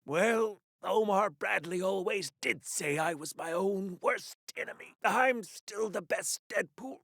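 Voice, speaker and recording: Patriotic forties comic-book super soldier: high, swooping, theatrical radio-serial delivery for a video game. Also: male voice, sharp tone, no room sound, studio quality, heavily acted. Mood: dying, stubborn. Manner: theatrical, hammy, old-time announcer delivery. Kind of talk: video game voice lines in golden age radio serial style.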